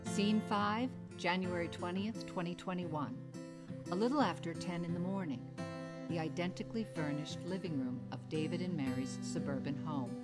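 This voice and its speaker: Woman reading stage directions, kinda low voice, scripted announcer delivery, guitar music loud behind her. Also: normal speed. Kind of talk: scripted narration.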